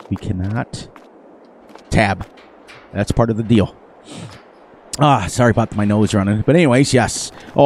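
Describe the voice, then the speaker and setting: A man's voice: medium pitch, darkish tone, a little hiss or hum. A man hosting a farming game playthrough in casual chat, laughing often, with a sniffly, runny nose. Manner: chatty, laughs often, playful. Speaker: gaming channel host. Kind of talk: casual gameplay commentary.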